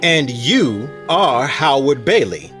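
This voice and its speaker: A man playing an earnest, pressing prosecutor in a radio play, deliberate, pointed and theatrical. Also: medium pitch, big pitch swings, fairly bright tone, soft background music. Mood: probing.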